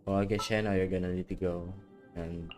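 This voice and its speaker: A man's voice: low-pitched, little pitch movement, brisk. A man giving casual, joking live commentary as he streams a video game. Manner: joking, offhand. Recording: game music behind the voice.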